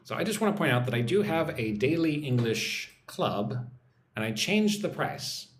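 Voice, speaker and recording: A man's voice: on the high side, very animated, echoey room, slight background noise. An American man teaching English on a live stream, reading out viewer comments and sounding out words for listeners to repeat. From United States. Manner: reads viewer comments aloud, models pronunciation slowly.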